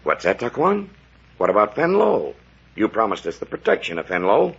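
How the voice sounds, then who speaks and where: A man's voice: on the low side, huge pitch swings, moderate pace, gravelly, husky, heavily acted. A man playing a concerned, earnest expedition doctor in a 1940s radio drama. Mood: alarmed. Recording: muffled vintage 1940s radio recording.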